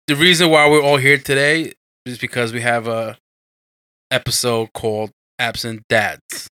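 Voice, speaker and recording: Guy from the us hosting a podcast, just chatting, crisp bright voice, dry close sound. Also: medium pitch, level tone, measured pace, dry recording. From United States. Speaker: podcast host. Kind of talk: casual chat.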